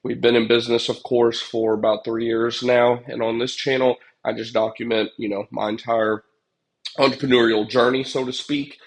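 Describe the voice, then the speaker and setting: A man's voice: medium pitch, little pitch movement, moderate pace, low-quality recording. A young man with a stuffed-up, congested voice hosts a casual livestream, rambling with lots of fillers and chatting to viewers. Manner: rambling, filler-heavy, addresses chat viewers. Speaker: livestream host, pest control business owner.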